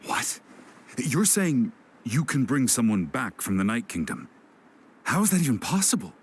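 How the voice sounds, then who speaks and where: A man's voice: mid-range voice, big pitch swings, normal speed, slight background noise, close to an everyday voice. A man playing a guarded, brooding veteran warrior and scout in a video game, reserved and prone to muttering. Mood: startled, pondering. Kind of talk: English video game voice acting. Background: music.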